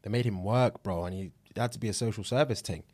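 A man's voice: on the low side, quick pace, darkish tone, breathy edge, dry recording, studio quality. A man with a London British accent, co-hosting a podcast in a casual, slangy conversational way, repeating phrases for emphasis. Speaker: podcast co-host.

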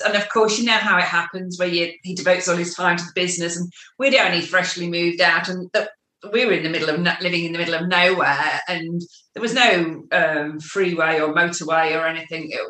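Middle-aged woman, mixed northern English accent, bright forceful tone, casual chat in a roomy echo. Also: on the low side. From Cheshire, England.

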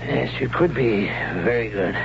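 An actor playing an older warrior hero who teaches swordplay in a gruff, blunt manner. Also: male voice, fairly low pitch, expressive, unhurried, background noise. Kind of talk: 1970s radio drama acting. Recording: dull old radio broadcast.

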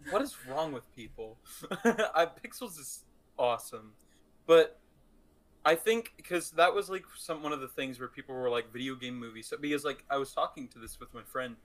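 A man's voice: medium pitch, lively intonation, normal speed, fairly bright tone. A man co-hosting a podcast, chatting casually and playfully about movies with an enthusiastic manner. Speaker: podcast co-host.

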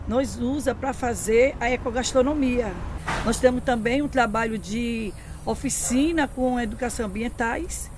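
A woman educator describes her community collective, earnestly running through its workshops in casual speech. Her voice is a little high, with faint noise in the background. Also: a little mellow. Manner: earnest, lists activities, casual grammar. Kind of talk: interview explanation.

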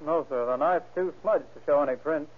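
An actor playing a respectful, precise police fingerprint technician in an old-time radio crime drama. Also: male voice, a little high, fairly quick, lightly acted. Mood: precise, deferential. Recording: narrow, tinny vintage radio recording.

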